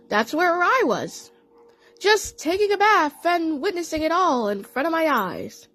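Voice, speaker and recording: Female fan audio play narrator: high pitch, lively intonation, halting dramatic storytelling. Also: poor audio. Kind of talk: storytelling in character, first person.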